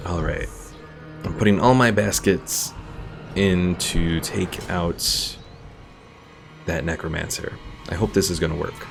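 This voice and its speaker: A man casually commentating his strategy game play, thinking aloud in a low-key, unsure way. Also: fairly even intonation, takes it slowly, gravelly, pauses often, over music. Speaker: streamer.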